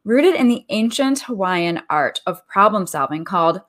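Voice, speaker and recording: A woman's voice: mid-range voice, expressive, normal speed, fairly bright tone. A woman hosting a radio talk show, upbeat and friendly, reading a guest's introduction and opening the interview.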